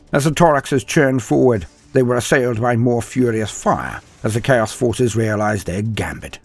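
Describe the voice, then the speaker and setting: A man's voice: lowish voice, slightly rough. A man reading a scripted war story as narrator, in a dramatic storytelling style. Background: faint music.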